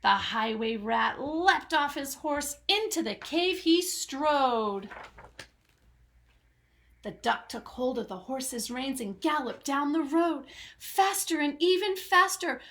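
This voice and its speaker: A woman hosting story time for young children, with a high voice and wide, swooping intonation. She takes her time and reads with dramatic, singsong flair. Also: a bit bright. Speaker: children's story reader and host. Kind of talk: expressive picture-book read-aloud for young children.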